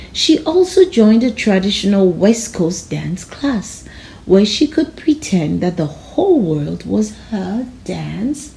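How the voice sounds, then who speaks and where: A woman narrating a children's storybook aloud in a measured, expressive storytelling manner. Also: mid-range voice, low-quality recording. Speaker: storybook reader.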